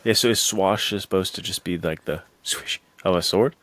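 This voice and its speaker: A man's voice: low-pitched, moderate pace, fairly bright tone, no echo. A man co-hosting a podcast in casual, slangy, enthusiastic chat. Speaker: podcast co-host.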